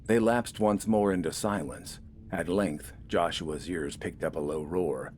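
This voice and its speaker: Very deep-voiced man narrating a story. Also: measured pace, darkish tone, slight background noise. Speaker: audiobook narrator. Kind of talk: scripted dramatic story narration.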